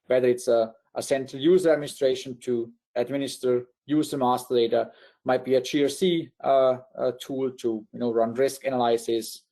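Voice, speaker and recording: A man's voice: mid-range voice, creaky, mellow. A man from Liechtenstein with a Swiss German accent, presenting a technical webinar in a conversational way with frequent fillers and restarts.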